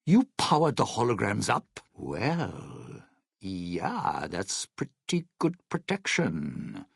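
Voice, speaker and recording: An actor playing a wary, sarcastic, smooth-talking lounge entertainer and survivor in a video game, with a husky, theatrical drawl. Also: male voice, fairly low pitch, huge pitch swings, takes it slowly, no room sound, clean studio sound, heavily acted. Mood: wary, sardonic. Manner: wry, hedging, theatrical showman's drawl. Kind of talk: video game dialogue acting.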